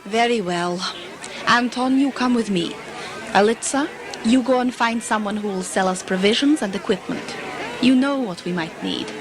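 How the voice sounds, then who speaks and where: A woman playing a commanding, wise and mysterious leader, speaking gravely and with authority in a sci-fi audio drama. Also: fairly high pitch, normal speed, close to an everyday voice. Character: commanding, wise mysterious lady leading two companions. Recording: noisy outdoor amateur recording with crowd.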